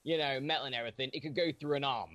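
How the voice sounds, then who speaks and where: A man's voice: rapid-fire, fairly bright tone. A man in his twenties, a guest on a casual podcast, rambling and joking with many fillers. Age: 20s. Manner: rambling, jokey, pitch swings a lot.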